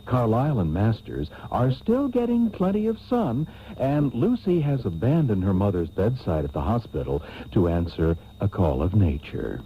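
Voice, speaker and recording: An actor playing a suave, pompous host narrating a parody radio soap with drawn-out theatrical delivery. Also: male voice, fairly low pitch, very animated, slight background noise. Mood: grandly amused. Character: suave, mock-grand male soap opera host. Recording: tinny band-limited taped radio broadcast.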